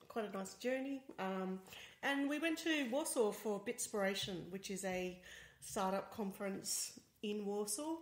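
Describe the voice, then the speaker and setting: A woman with an Australian accent co-hosting a casual podcast, speaking quietly and hesitantly from off to the side of a shared microphone. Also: medium pitch. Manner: soft-spoken, quiet, hesitant with ums. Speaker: co-host, tech journalist. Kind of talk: casual chat. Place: home apartment. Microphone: sharing one mic, sitting off to the side. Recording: roomy, quiet voice off-mic.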